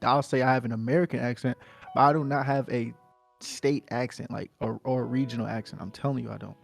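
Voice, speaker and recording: Casual podcast co-host, male, New Jersey accent, gravelly voice, insistent talker, faint music under him. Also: mid-range voice, fairly even intonation, quick pace. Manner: insistent, repeats "I'm telling you, bro".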